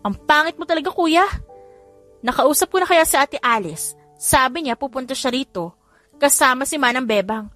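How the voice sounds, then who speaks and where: A woman's voice: high-pitched, expressive, normal speed, fairly bright tone, faint music behind, pitched above the performer's own voice. A woman voicing a sweet, teasing younger sister in an audiobook, mixing in English phrases. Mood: annoyed, teasing. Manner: mixes Tagalog with English phrases. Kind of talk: audiobook character dialogue.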